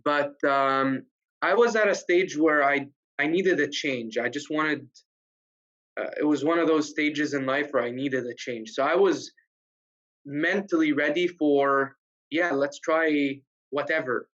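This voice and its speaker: A man from Egypt, a guest on a video-call interview, telling his story in a relaxed way with many "um"s and "uh"s. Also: on the high side, measured pace. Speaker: guest, realtor. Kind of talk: casual interview chat. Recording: dull lo-fi video call audio.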